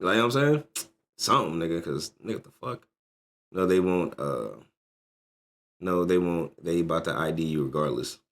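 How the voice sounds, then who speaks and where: A man in his thirties with a fairly low voice, reacting live on stream. He rants in a loose, profane, animated way, shooting up into higher bursts as he goes. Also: normal speed, long pauses. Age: 30s. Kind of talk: casual livestream reaction chat.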